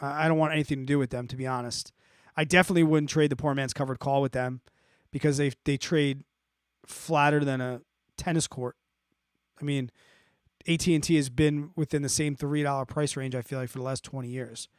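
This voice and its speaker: A man's voice: medium pitch, fairly even intonation, fairly quick, clear, resonant tone, no room sound, studio quality. A man hosting an investing live stream, explaining off the cuff in an informal, blunt way, thinking aloud and checking in as he answers viewer questions.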